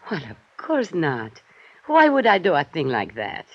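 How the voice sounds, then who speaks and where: Husky, coy young femme fatale. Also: female voice, low voice, huge pitch swings, unhurried, heavily acted. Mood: coy, defensive. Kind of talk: 1950s radio drama acting. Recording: dull old radio recording.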